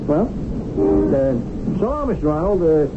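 Friendly patrolman: high voice, eager, hesitant, folksy. Vintage radio drama, thin noisy sound. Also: sing-song, takes it slowly, heavily acted. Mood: chummy. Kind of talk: 1970s radio drama acting. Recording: noisy, tinny phone-like old radio broadcast.

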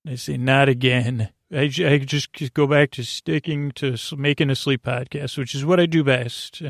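A man hosting a sleep podcast with a creaky, lulling voice, meandering and halting through a goofy bedtime monologue. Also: a little high, steady tone, no room sound, studio quality. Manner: halting, often trailing off and circling back. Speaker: podcast host.

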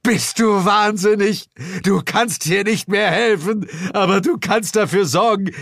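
A man voicing a frantic male reporter in an audiobook, shouting urgently. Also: sits high, measured pace, creaky, no room sound, clean studio sound, voice pushed up, heavily acted. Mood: yelling.

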